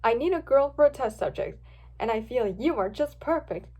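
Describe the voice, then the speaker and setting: A woman's voice: fairly high pitch, lively intonation, moderate pace, darkish tone. A woman voicing a scheming male mad scientist in a read-aloud story, smug, commanding and impatient. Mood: calm menace.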